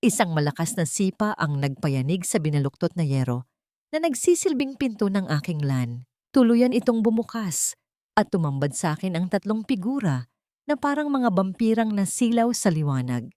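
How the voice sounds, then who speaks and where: A woman's voice: mid-range voice, big pitch swings, moderate pace, mellow, dry recording, studio quality. A woman reading a dramatic scripted audio story as its narrator.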